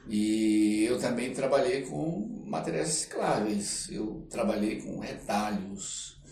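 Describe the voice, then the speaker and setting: An older man, reminiscing casually as an interview guest and often pausing to search for words. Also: medium pitch, gravelly, darkish tone. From Belém, Pará, Brazil. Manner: halting, searching for words, frequent check-in tags. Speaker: podcast guest, theatre artist. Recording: roomy echo, off-mic, faint background noise.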